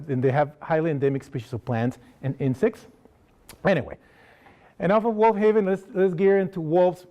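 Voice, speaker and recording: Man, Costa Rican Spanish accent, slightly high pitch, relaxed lecturer who quizzes the crowd. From Costa Rica. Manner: conversational, asks the audience questions, frequent restarts. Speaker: wildlife sanctuary educator giving a public talk. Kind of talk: public lecture to an audience. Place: lecture hall.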